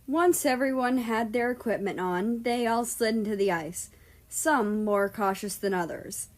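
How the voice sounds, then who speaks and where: A woman's voice: a little high, measured pace. A woman narrating a scripted story in a storybook style. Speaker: fan fiction narrator.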